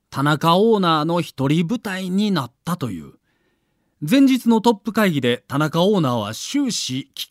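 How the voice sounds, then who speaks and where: A man's voice: high voice, no echo, studio quality. A man reading scripted audio documentary narration in a dramatic, emphatic storytelling manner.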